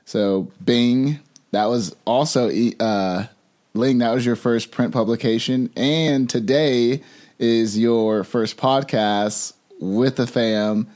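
A man's voice: medium pitch, steady tone, slow, darkish tone. A man hosting a podcast, speaking in a friendly, slangy way and calling his guest bro. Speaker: podcast host. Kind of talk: casual interview chat.

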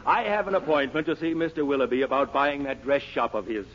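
A man's voice: mid-range voice, fast talker, fairly bright tone, lightly acted. An actor playing a brusque, impatient out-of-town businessman in a 1940s radio comedy. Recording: muffled, very noisy 1940s radio recording.

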